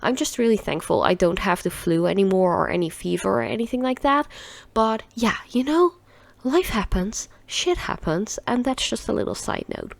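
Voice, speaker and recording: A woman's voice: mid-range voice, expressive, moderate pace, creaky, no room sound. A Dutch woman in her thirties with a stuffy, nasal voice from a cold, chatting casually through a nail art tutorial in a rambling way. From Netherlands. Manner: rambling, chatty, laughs often.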